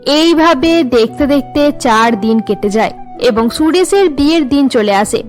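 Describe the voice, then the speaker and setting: A woman's voice: sits high, animated, measured pace, soft background music. A woman narrator reading a scripted story for a cartoon.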